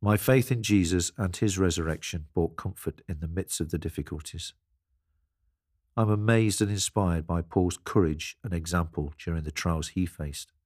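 A man with a deep voice reads a prepared devotional. His delivery is reflective and measured, recorded close and dry with no room sound. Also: a little mellow, no echo. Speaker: devotional reader. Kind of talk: scripted devotional narration.

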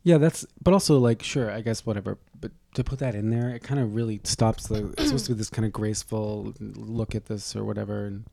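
A man's voice: on the low side, a little fast, gravelly, few pauses, warm tone, no room sound. A man co-hosting a podcast, tossing out sarcastic, profane wisecracks in casual chat. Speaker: podcast co-host.

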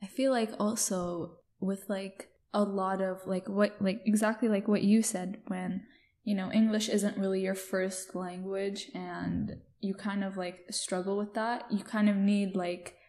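Young female podcast host, mellow tone, chatty, filler-heavy. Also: mid-range voice, level tone, unhurried. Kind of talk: casual chat.

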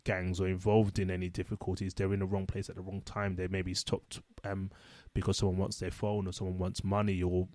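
A man co-hosting a casual chat, a social worker speaking in a reflective, measured way. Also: sits low, monotone, darkish tone, dry recording. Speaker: social worker, podcast co-host.

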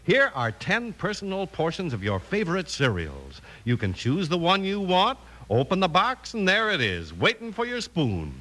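An American man announcing in a polished, upbeat old-time radio style, delivering a scripted sponsor pitch. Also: huge pitch swings, normal speed, few pauses, bright, forceful tone. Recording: old radio broadcast recording. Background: music.

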